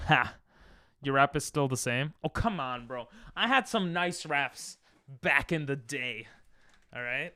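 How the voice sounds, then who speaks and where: A man's voice: medium pitch, lively intonation, unhurried, sharp tone, no echo. A man whose first language is Hebrew, chatting casually on a game stream, reading chat aloud and breaking into silly freestyle rhymes. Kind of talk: casual live-stream chat while gaming.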